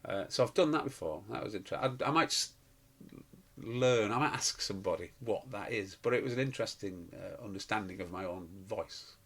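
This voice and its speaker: A middle-aged man chats as an interview guest, wandering through his stories with plenty of fillers and restarts. His voice sits low and husky, with a slightly breathy edge. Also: expressive, brisk, fairly bright tone. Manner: rambling, anecdotal, many fillers and restarts. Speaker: pantomime performer and guest. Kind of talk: casual interview chat.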